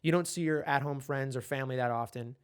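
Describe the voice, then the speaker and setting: A man's voice: medium pitch, fast, clean tone. A man in his twenties co-hosting a podcast, talking casually and rambling with many restarts. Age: 20s. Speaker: podcast co-host. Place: in Los Angeles.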